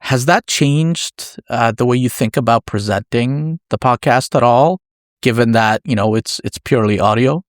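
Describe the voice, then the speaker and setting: A man's voice: mid-range voice, moderate pace, dry recording, clean studio sound. A man hosting a podcast interview in a conversational way, building thoughtfully toward his questions.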